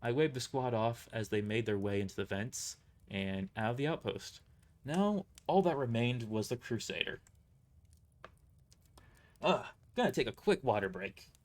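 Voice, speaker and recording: Animated male storyteller reading fantasy fiction. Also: mid-range voice, unhurried. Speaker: narrator and reader of an audio drama. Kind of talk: dramatic first-person storytelling read aloud.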